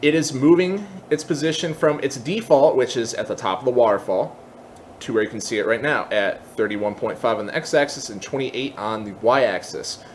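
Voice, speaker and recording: A man with an average-pitched voice hosts a screen-share tutorial. He explains things informally, piece by piece, often thinking aloud. A noticeable bed of background noise sits under the recording. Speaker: tutorial host. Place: indoors at a computer, voice-over for screen capture.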